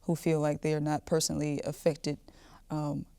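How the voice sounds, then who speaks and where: Woman, podcast host, low mellow voice, calm, reflective interviewing, long questions. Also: normal speed, gravelly, smooth, no echo.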